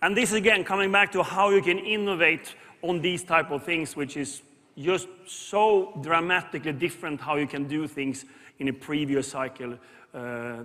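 A man's voice: fairly high pitch, sharp tone. A man in his fifties with a Swedish accent, hosting a keynote on a large arena stage with a headset mic, enthusiastic and loose with plenty of fillers. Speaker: company chief and keynote host. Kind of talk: unscripted keynote remarks. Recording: long hall reverb from arena.

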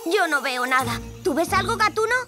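A woman's voice: very high voice, animated, a little fast. A woman voicing a caring, sensible little girl owl superhero in a cartoon, earnest and reasoning, with a Castilian Spanish accent. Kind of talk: animated children's cartoon dub. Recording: loud music behind.

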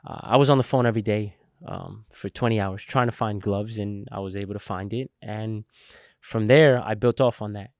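Young man calmly telling his story. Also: on the low side, normal speed, no room sound, studio quality. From New Jersey, United States. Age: 20s. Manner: earnest, steady storytelling with fillers. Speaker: guest, logistics entrepreneur. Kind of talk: interview storytelling. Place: warehouse studio set. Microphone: boom-mounted podcast mic.

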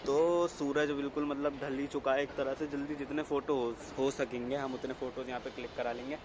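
A man's voice: medium pitch, level tone, brisk. Young man vlogging over noise. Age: young adult. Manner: chatty, slangy, mixes in English words. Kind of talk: casual vlog chat. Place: city pavement, mall and parking area. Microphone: phone at arm's length. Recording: noisy handheld recording, traffic and ambience.

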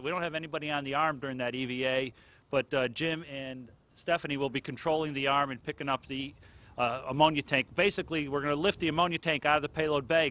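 A middle-aged man from Connecticut explaining spacewalk plans outdoors, brisk with light humor, on a handheld mic. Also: a little high, fairly bright tone. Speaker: astronaut spacewalker. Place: outdoors at a launch pad.

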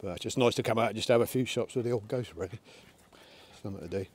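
A man's voice: on the low side, quick pace, darkish tone, husky. A middle-aged man, chatting casually outdoors in a windy field while shooting an air rifle and talking to his dogs. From England. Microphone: camera on a tripod, then handheld.

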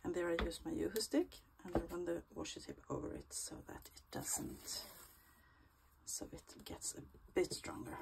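A woman's voice: lowish voice, normal speed, gravelly, poor audio. A woman vlogger with a stuffy cold, chatting casually through her crafting in a small home craft room and often murmuring half-whispered asides as she works.

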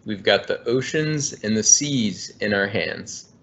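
Homem grave lendo livro infantil. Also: male voice, on the low side, lively intonation, slow, vocal fry, a bit bright. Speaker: environmental engineer. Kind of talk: reading a children's book aloud. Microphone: laptop webcam on video call. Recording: muffled video call audio.

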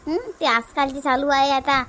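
Someone voicing a high-pitched, chatty village mother. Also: fairly even intonation, moderate pace, sharp tone. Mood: explaining, insistent. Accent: Varhadi Marathi. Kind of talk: comic family cartoon dubbing.

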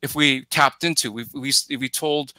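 A middle-aged man giving casual film analysis as a podcast guest, halting and often starting phrases over. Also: medium pitch, fairly even intonation, bright, forceful tone, no room sound. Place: plain room at home, on a video call. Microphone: headset.

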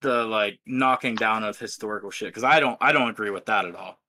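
A man's voice: lowish voice, fairly quick, sharp tone, slight background noise. A man in his early twenties chatting on a podcast, blunt and swearing freely. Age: 20s. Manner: blunt, profane. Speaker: podcast host. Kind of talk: casual chat.

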